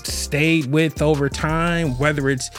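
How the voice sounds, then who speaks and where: A man's voice: on the high side, steady tone, slow, soft background music. A man hosting a show alone and talking casually about relationships, rambling with lots of fillers and restarts. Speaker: podcast host. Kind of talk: casual solo talk on relationships.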